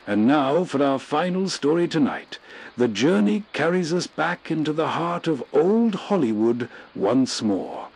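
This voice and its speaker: A man's voice: on the high side, very animated, a little slow, a little mellow. A man hosting with scripted, atmospheric storytelling narration. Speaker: show host.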